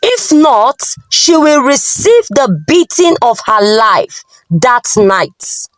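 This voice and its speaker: High, animated Nigerian woman storyteller. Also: slow pace, no echo. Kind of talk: dramatic folktale narration.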